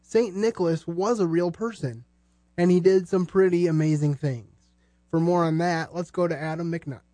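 A man hosting a podcast in a conversational style, with measured, halting phrasing. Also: fairly high pitch, steady tone, dark tone, no echo. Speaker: podcast host. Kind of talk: conversational podcast presenting with religious commentary.

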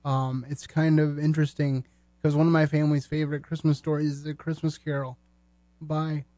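A man hosting a podcast in an earnest, conversational style, speaking sincerely in measured short phrases. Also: fairly high pitch, level tone, warm tone, no echo. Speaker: podcast host.

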